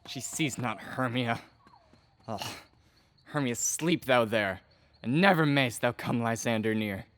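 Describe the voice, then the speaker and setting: A man playing an ardent, impetuous young gentleman in a Shakespearean verse audio drama, with a declamatory manner. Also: mid-range voice, measured pace, no echo, lightly acted. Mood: scornful, resolute.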